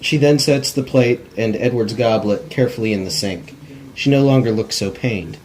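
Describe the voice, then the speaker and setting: A man's voice: medium pitch, normal speed, darkish tone, poor audio. A man reading stage directions in an amateur cold table read, plainly and with stumbles over words. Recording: faint background noise.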